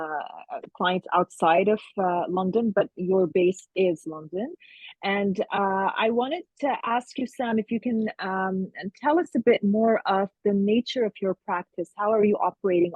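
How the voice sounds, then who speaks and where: A woman hosting an interview, asking halting questions with many fillers. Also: medium pitch, darkish tone, breathy edge, no room sound. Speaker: interview host. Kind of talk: casual interview. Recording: tinny phone-like remote call.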